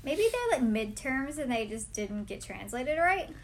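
A woman's voice: a little high, very animated, measured pace, a little hiss or hum. A woman co-hosting a podcast, chatting playfully and rambling with lots of "like" about an anime episode. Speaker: podcast co-host.

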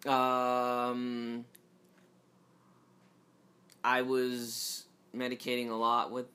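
A man hosting a casual solo podcast, rambling in a low, fairly monotone voice with many restarts and fillers, and joking asides to his listeners. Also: very slow. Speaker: podcast host.

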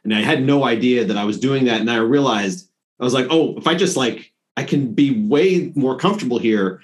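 A man's voice: medium pitch, brisk. A middle-aged man hosting an interview, speaking in an informal, rambling conversational way. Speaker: interviewer, host. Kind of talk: casual chat.

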